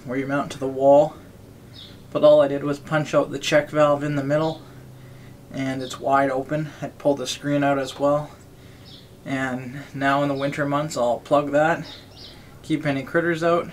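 Casual man over clear background noise. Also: on the high side, flat delivery, unhurried. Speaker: DIY video host. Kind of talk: casual how-to narration of a trailer plumbing setup.

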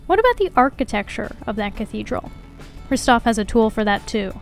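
A woman narrates a science feature in a wry, conversational voice-over. Her voice sits fairly high for a woman. Faint music plays beneath her. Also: big pitch swings, fairly quick, creaky. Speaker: science video reporter. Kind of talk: scripted narration.

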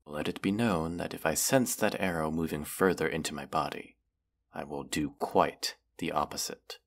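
A man's voice: a bass voice, gravelly. A man voicing a proud, mighty dragon in a fantasy roleplay, haughty and formal in manner. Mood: haughty, guarded. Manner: haughty, deliberate, formal phrasing with menacing undertone. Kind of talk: fantasy roleplay audio acting.

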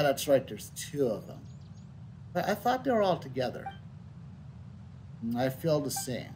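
A middle-aged man livestreaming a game, chatting casually in a rambling, halting way and reading out on-screen text. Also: medium pitch, slight background noise, low-quality recording. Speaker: game streamer. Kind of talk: casual livestream chat and read-out. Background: game music.

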